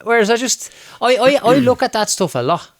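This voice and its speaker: A man's voice: extremely high pitch, expressive, brisk, no echo. Young Dublin man, casual host, chatty, dry close recording. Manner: chatty, swears, laughs easily. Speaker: podcast host. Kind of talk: casual interview chat.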